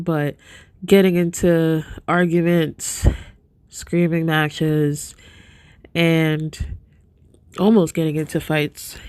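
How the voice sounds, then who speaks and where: A woman's voice: on the low side, smooth, no echo. A congested, sniffly woman hosting a podcast, talking earnestly and casually to her viewers. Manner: sniffly, soft-spoken, earnest. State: congested, stuffed-up nose. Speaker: podcast host.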